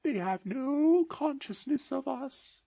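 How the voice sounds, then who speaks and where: A woman voicing a gentle, otherworldly ghost of the past in an audiobook dramatization, speaking slowly and solemnly. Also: sits high, animated, vocal fry, no room sound, exaggerated voice. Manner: slow, solemn and mild.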